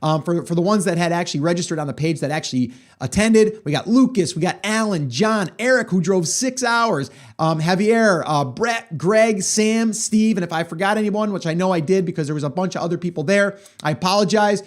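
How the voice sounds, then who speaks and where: A middle-aged man hosting a podcast, telling a story in a chatty way with lots of fillers, his voice hoarse. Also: high-pitched, a little fast, few pauses. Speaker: podcast host. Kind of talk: casual solo podcast monologue.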